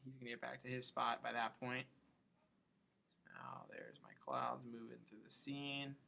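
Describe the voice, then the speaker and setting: A man with a gravelly, bright voice at a medium pitch talks through a software tutorial. He is informal and thinks aloud, muttering to himself between steps. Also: little pitch movement, measured pace, a little hiss or hum. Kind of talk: casual screen-recorded tutorial, thinking aloud while working.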